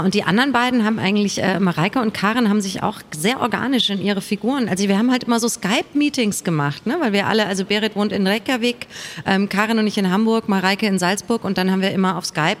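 A woman author talking casually and colloquially in a panel discussion. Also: fairly low pitch.

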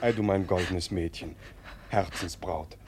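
A hot-headed young villager, in a classic stage comedy for radio. He is rough and excitable, a bridegroom quick to curse. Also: sits low, noisy background. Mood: tender, contrite. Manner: excitable, cursing.

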